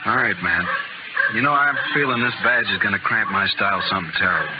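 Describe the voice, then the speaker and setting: Roguish frontier gambler male actor, lowish, wry and reluctant; radio western, very noisy dull recording. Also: expressive, fast, natural voice. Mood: wry reluctance. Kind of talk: 1950s radio western acting.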